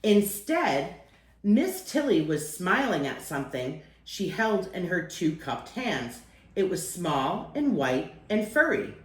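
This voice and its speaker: Woman doing storytime read aloud, really expressive, stretches key words, kinda echoey room. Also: lowish voice, takes it slowly. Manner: acts out phrases, lingers on key words. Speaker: storyteller reading a picture book aloud.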